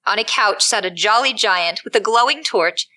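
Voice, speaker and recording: A woman narrator with a bright, crisp-edged tone and medium pitch. She reads scripted storybook narration for an audio drama. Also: moderate pace.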